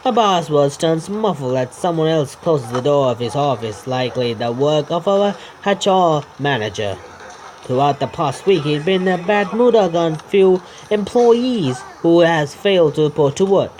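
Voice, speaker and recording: A man's voice: fairly high pitch, animated, measured pace, darkish tone, slight background noise, rough recording. A man reading visual novel narration aloud, stumbling and repeating words with muttered asides. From India.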